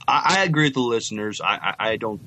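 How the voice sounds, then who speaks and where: A man co-hosting a podcast, chatting casually and reading comments with halting, hesitant phrasing. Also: medium pitch, expressive, pushed and bright. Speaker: podcast co-host.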